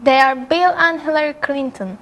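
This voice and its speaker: A woman's voice: high-pitched, moderate pace, fairly bright tone. A woman playing a beginner English student, giving neat, careful short answers in a scripted classroom drill. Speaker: language student.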